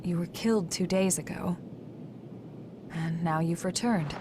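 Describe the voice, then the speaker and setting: A woman playing a calm, caring medic in a video game cutscene, speaking in a gentle, reassuring, clinical way. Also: on the low side, a little slow, noisy background. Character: calm, caring female medic tending a revived immortal soldier. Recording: music loud behind the voice. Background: loud game music.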